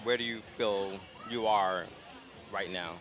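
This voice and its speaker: A man hosting a casual live interview at an outdoor street festival, speaking in a calm, gentle, unhurried way. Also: on the low side, some chatter behind. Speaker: interview host.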